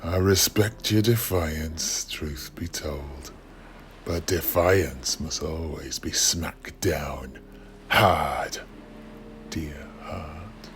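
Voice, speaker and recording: A man voicing a menacing old undead pirate captain, courteously cruel, speaking deliberately in a breathy whisper. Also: rumbling low, slight background noise, pitched below the performer's own voice, heavily acted. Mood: menacing, condescending. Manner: slow, deliberate, mock-affectionate.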